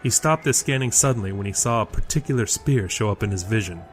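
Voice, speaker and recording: A man's voice: on the low side, animated, fast, soft background music. A man reading scripted narration for a fantasy audio drama.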